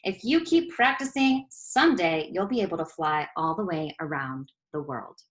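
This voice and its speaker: A woman voicing an excitable, earnest young schoolgirl in a storybook, with an eager, emphatic way of talking. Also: deep voice, normal speed, lightly acted. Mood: excited.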